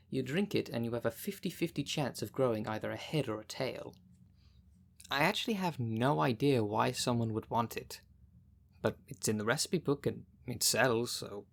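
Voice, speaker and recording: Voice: medium pitch, vocal fry. A dry, bossy city witch, acted out in ASMR roleplay. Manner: dry, matter-of-fact, casually bossy. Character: sardonic, brisk witch shopkeeper of a city potion shop, female. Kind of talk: ASMR roleplay, speaking directly to the listener.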